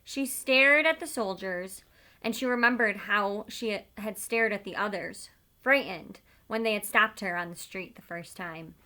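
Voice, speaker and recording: A woman's voice: mid-range voice, unhurried, bright, forceful tone. A woman speaking as a teacher, talking informally to her pupils and reading a storybook aloud.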